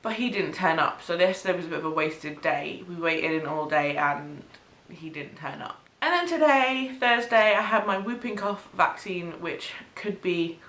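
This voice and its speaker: A young woman from the UK, chatting casually in a home vlog, sniffly from a cold, with frequent ums and soft laughs. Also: lowish voice, expressive, moderate pace, hardly any pauses. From United Kingdom. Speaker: vlogger. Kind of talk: casual pregnancy update vlog. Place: small bedroom or home studio, indoors. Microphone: camera mic, head-and-shoulders framing. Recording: echoey room.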